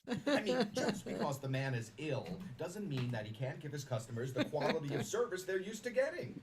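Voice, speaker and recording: A man's voice: on the high side, sing-song, fairly quick, distant mic. Man acting a smug, scheming businessman, swooping voice. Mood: feigned shock. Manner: unctuous false sincerity, theatrical asides. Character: scheming smug businessman faking concern on a phone call. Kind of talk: dramatic monologue, one side of a phone call.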